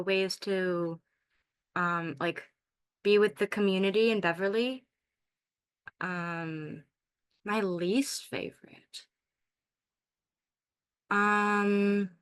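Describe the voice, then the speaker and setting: A teenage young woman from Morocco speaks as a high school student in an informal question session. Her voice is clear and smooth at a middle pitch. She talks slowly and hesitantly, often searching for the right words. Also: sharp tone. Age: late teens. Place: video call.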